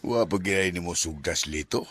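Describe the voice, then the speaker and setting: A man's voice: low voice, moderate pace, loud music behind the voice, close to an everyday voice. A Visayan Filipino man playing a persuasive, meddling uncle in a radio drama. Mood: nudging, persuasive. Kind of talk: Bisaya radio drama acting.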